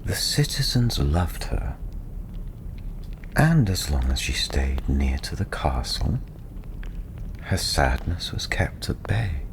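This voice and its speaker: A man softly telling a fantasy story in ASMR style, breathy and often near a whisper, gentle and unhurried with long pauses. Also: rumbling low, very animated, noisy background.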